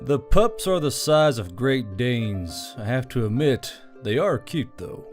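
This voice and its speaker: Wry male commander, acted by a male narrator, medium pitch, dry questioning, military audio story, soft music behind. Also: very animated, takes it slowly. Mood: wry amusement.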